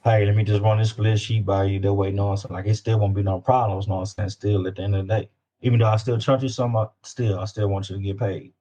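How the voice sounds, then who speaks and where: Male interview guest, low voice, Southern US accent, loose slangy delivery that circles back over phrases. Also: flat delivery, fast, warm tone, highs cut off. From Louisiana. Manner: informal slangy, repeats phrases. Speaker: independent rapper guest. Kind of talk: casual interview chat.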